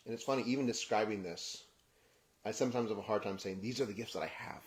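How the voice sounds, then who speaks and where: A man raised in Kenya, speaking in a halting, reflective personal monologue that often restarts phrases and trails off softly at the ends. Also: on the low side. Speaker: podcast host.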